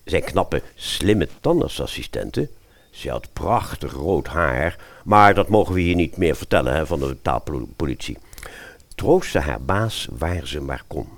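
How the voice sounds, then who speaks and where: A man's voice: a bass voice, animated, unhurried. A man narrating a satirical fairy tale as storyteller, expressively and with wry asides to the listener.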